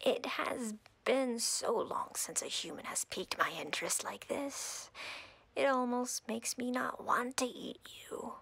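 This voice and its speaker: A woman playing an imperious, coldly flirtatious drider queen in a roleplay audio, breathy and whispery, speaking haughtily and deliberately with playful menace. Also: a little high, animated, fairly bright tone, no echo, heavily acted, rough recording. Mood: savoring, teasing.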